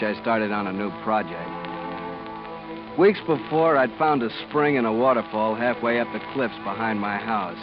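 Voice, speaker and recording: A man's voice: medium pitch, natural voice. An actor playing a weary, resigned expatriate man telling his island story in a 1940s radio drama. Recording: muffled old radio recording with music.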